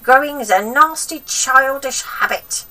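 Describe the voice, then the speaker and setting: A woman's voice: high voice, a little slow, bright, forceful tone, distant mic, pitched above the performer's own voice, rough recording. A woman voicing a selfish, grumpy old grandmother from a children's storybook, snappish, scolding and demanding. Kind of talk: acted children's storybook reading.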